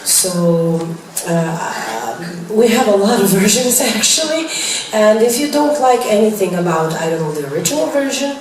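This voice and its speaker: A chatty young woman fields press questions, laughing often and filling pauses with "uh". Her voice sits at a middle pitch and comes from far off the mic. The room is very echoey and noisy. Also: big pitch swings, a little mellow. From Serbia. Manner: chatty, laughing, apologetic, with many fillers. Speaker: singer answering press. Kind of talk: press Q&A chat.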